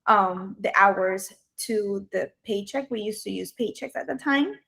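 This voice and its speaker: A woman's voice: mid-range voice, unhurried, creaky, pushed and bright, slightly rough. Hesitant Colombian-born woman interviewing. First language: Spanish. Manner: hesitant, many ums and restarts. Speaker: job candidate. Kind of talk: video job interview. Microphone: video call.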